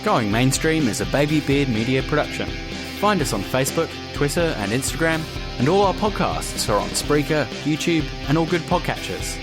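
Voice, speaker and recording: A man's voice: fairly high pitch, expressive, normal speed. A man working as an announcer, reading scripted podcast credits. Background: plucked guitar music.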